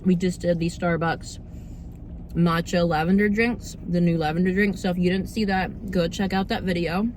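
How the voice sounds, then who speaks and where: A young woman with a slightly hoarse, under-the-weather voice, chatting casually and informally as she hosts a food review vlog. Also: on the low side, steady tone, moderate pace, darkish tone, slight background noise. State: slightly hoarse, getting over a cold. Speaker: host of a cookie review video series.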